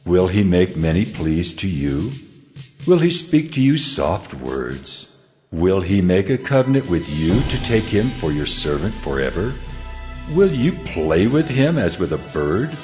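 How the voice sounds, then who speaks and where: A man as a deep-voiced, stern almighty God over music. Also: very animated, a little slow, darkish tone. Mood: stern, challenging. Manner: solemn, declamatory, rhetorical questioning. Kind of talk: dramatized scripture reading.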